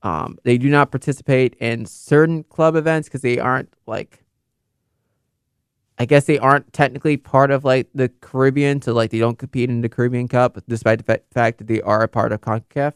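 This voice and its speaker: A man's voice: medium pitch, fairly even intonation, fairly quick, clean tone, no room sound, clean studio sound. A young man in casual, unscripted commentary, rambling and correcting himself with many fillers. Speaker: football video host.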